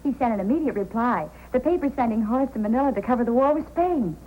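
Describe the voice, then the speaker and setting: An actress playing a devoted, affectionate young sweetheart in an old-time radio drama, earnest and husky. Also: female voice, fairly high pitch, animated, quick pace, dark tone, rough recording. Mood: proud, happy.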